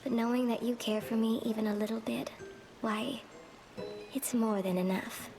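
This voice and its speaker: A woman playing a small, gentle, wistful cursed weaver in a video game, speaking haltingly. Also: a little high, fairly even intonation, gravelly. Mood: shy, tender. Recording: music behind the voice.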